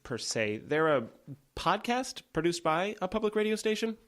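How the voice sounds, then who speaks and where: A man's voice: high voice, big pitch swings, moderate pace, fairly bright tone. An actor playing an easygoing young man with a dry, wry way of talking.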